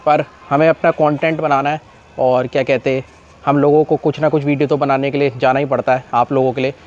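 A man's voice: mid-range voice, little pitch movement, a little fast, highs cut off. A young man talks casually to camera as a vlogger, with a slightly sore, coughy throat. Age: young adult. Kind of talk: casual vlog talk to camera. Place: open rooftop outdoors in a city. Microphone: clip-on lapel mic. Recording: noisy outdoor urban ambience.

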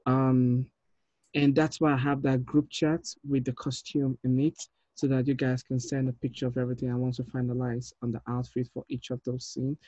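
A man in his thirties leading an informal meeting as a film director, giving halting instructions over a video call. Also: medium pitch, little pitch movement, mellow, dry recording. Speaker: short film director leading a table read. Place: indoor room, on a video call. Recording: dull, muffled video call audio.

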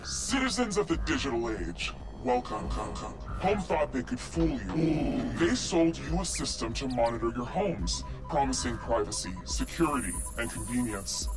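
A man's voice: mid-range voice, big pitch swings, a little slow. An actor voicing an anonymous, righteous male hacktivist spokesman in a solemn, declamatory game propaganda video, his voice electronically disguised. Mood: grave warning. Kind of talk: scripted propaganda video narration. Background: music.

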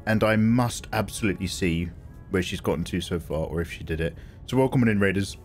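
A man with an English accent, chatting excitably on his live stream and swearing freely. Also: sits low, a little fast, soft background music. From England. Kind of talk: casual live-stream chat.

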